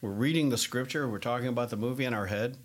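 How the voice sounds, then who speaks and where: A man's voice: mid-range voice, fast. Chatty laughing male podcast host. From United States. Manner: chatty, laughs often, many fillers. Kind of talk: casual chat.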